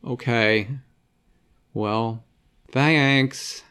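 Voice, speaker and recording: A man's voice: drawn-out words, a bit bright, smooth. A man voicing a worried young girl in a storybook, pleading in a raised voice. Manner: pleading, higher-pitched.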